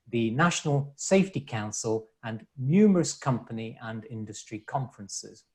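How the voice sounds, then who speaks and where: Man hosting, formally introducing a guest. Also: mid-range voice. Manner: measured, reading a prepared introduction. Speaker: host.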